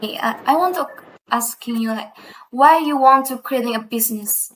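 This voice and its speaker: A young woman with an Indonesian accent, a student interviewer asking questions in halting, hesitant phrases. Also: fairly high pitch, fairly bright tone, slight background noise, poor audio. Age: young adult.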